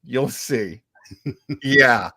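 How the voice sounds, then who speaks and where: A man hosting a radio show, telling stories in a casual, animated and deliberate way with emphatic repetition. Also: medium pitch, breathy edge, dry recording. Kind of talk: casual storytelling talk show.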